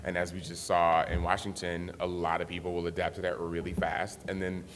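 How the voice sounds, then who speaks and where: A man on a panel, speaking in a measured way with some fillers. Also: sits low, completely flat delivery, a little hiss or hum. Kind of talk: panel discussion answer. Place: conference hall. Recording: reverberant hall with faint ambience.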